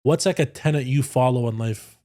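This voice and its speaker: A man's voice: mid-range voice, a little fast, warm tone. A man hosting a podcast in casual chat, telling stories in a brash, profane way. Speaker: podcast host.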